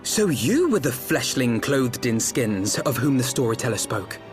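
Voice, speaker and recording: An actor playing a thoughtful scholar in a fantasy game, with formal, deliberate, archaic phrasing. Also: male voice, medium pitch, very animated, over music. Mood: pensive.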